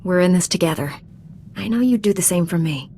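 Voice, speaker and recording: A woman's voice: lowish voice, animated, fairly quick, mellow, slight background noise. A woman acting a composed, dutiful Jedi Knight and mentor in a video game, speaking earnestly and formally.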